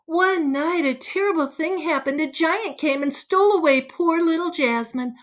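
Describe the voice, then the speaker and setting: A woman voicing a prim young girl telling a sentimental fairy tale, expressive and easily indignant, in a storybook read-aloud. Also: high-pitched, normal speed, close to an everyday voice. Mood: sad, dramatic.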